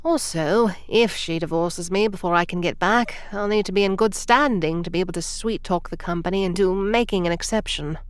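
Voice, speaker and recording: A woman's voice: on the low side, brisk, few pauses, clear, resonant tone, dry recording, natural voice. A woman playing a prim, rule-following time traveler near retirement who gets irritated easily, in an audio drama. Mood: earnest. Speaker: senior time travel agent.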